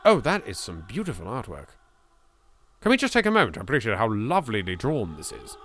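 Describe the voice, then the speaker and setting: A British man with a fairly low but bright, forceful voice reads a horror game's story aloud. He is theatrical and expressive, slipping between narration and blunt asides as a let's player. A faint noise sits behind him. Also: fairly quick. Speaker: video game let's player. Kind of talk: dramatic read-aloud of horror game text with asides.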